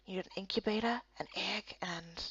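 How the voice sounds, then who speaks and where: Stern, terse fantasy guardsman, performed by a woman, pitched down, quiet, deadpan. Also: normal speed, breathy edge, dry recording, lo-fi sound. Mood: dry, disapproving. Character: low-voiced, gruff, curt male guard, stern and reserved.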